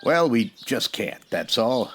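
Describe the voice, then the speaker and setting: An actor playing a weary, decent Old West marshal with a plainspoken, hesitant way in a radio western parody. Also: male voice, mid-range voice, very animated, slow pace, slight background noise. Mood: awkward, reluctant.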